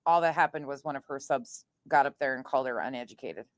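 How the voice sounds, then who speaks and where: Low-voiced blunt woman streamer. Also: quick pace. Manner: blunt, profane, scornful. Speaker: commentary streamer. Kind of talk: casual reaction commentary.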